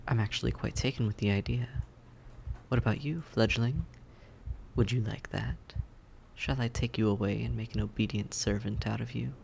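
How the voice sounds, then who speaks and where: A man playing a suave, predatory vampire in an audio roleplay, speaking in deliberate, theatrical phrases with teasing condescension. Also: fairly low pitch, steady tone, creaky, darkish tone, slight background noise. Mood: playful, seductive. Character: suave, predatory male vampire toying with his prey. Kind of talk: scripted roleplay audio drama monologue.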